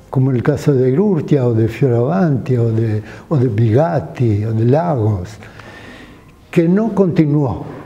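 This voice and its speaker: An older man with an Argentine accent, a sculptor reflecting slowly in an interview, pausing often with hesitation fillers, recorded in his studio. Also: mid-range voice, mellow. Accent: Argentine Spanish.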